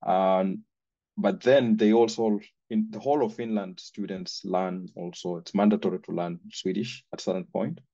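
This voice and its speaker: A man's voice: low-pitched, steady tone, moderate pace, warm tone, no room sound. A man whose first language is Swahili, answering as a parent on an online panel, hesitant with many restarts. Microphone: video call. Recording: dull lo-fi call audio.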